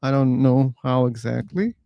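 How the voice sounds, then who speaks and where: A man's voice: mid-range voice, moderate pace, vocal fry, dry recording, muffled recording. A man hosting a live discussion stream in casual chat, easygoing, often laughing and restarting words.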